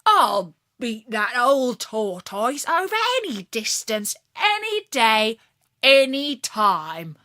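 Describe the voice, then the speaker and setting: A woman voicing a boastful, excitable hare in a children's story, exclaiming with scorn and heavy emphasis. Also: on the high side, slow, no room sound, clean studio sound, exaggerated voice.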